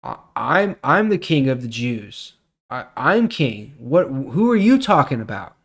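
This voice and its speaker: A man's voice: medium pitch, big pitch swings, measured pace, fairly bright tone, heavily acted. Man reading as an insecure, self-important king. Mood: indignant. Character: insecure, scheming male king Herod.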